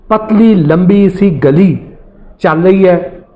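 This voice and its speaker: A man's voice: high-pitched, slow, dark tone. A middle-aged man from Pakistan, presenting from a studio desk and explaining what the footage shows, step by step. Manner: earnest, explanatory, describing step by step. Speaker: investigative reporter.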